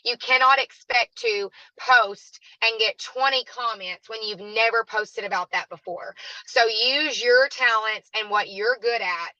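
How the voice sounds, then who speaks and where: A woman with a raspy, hoarse voice, giving a chatty, encouraging training talk as a guest speaker. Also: a little high, a little slow, pushed and bright, no room sound, dull audio. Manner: chatty and encouraging, addresses listeners as "y'all".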